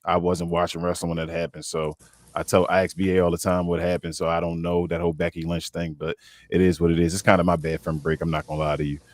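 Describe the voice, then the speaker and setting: Man co-host with a very deep, dry, close voice and a relaxed, meandering delivery. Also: fast talker, no room sound. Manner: laid-back, rambling, many fillers and restarts. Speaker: podcast co-host. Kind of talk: casual panel chat.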